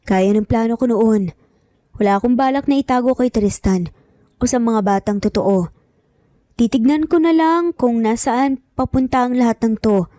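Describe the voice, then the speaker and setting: A woman's voice: a little high, moderate pace, warm tone, no room sound, natural voice. A woman voicing a guarded, firm young single mother in an audio drama, with a Filipino accent. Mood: earnest, resolved.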